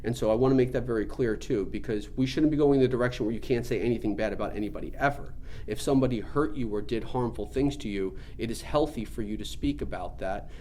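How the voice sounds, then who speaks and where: A man's voice: mid-range voice, fast, warm tone, a little hiss or hum. A man giving an informal spiritual teaching talk, explaining things in an earnest, emphatic way.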